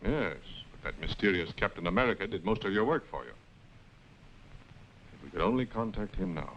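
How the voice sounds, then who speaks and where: Impatient mayor, low voice, blunt, dull vintage film sound, faint hiss. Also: male voice, expressive, fairly quick. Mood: skeptical, grave. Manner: blunt, challenging. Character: gruff, impatient male city mayor. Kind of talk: 1940s film serial acting.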